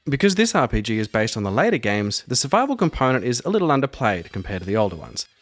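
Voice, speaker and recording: A man's voice: mid-range voice, big pitch swings, fast talker, dry recording, faint music behind. A man hosting a solo roleplaying podcast, delivering scripted commentary and narration. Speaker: podcast host and solo game master.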